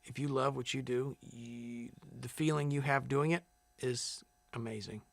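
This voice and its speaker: Man, middle pitch, casual interview answers, rambling with restarts, dry close recording. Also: unhurried, creaky, no room sound. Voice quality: big loud singing voice. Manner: relaxed, rambling, many fillers and restarts. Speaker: singing contestant.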